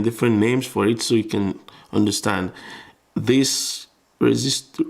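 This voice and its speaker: Male tutor, low creaky voice, informal step-by-step electronics walkthrough with repeated phrases. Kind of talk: explanatory tutorial, thinking aloud while demonstrating.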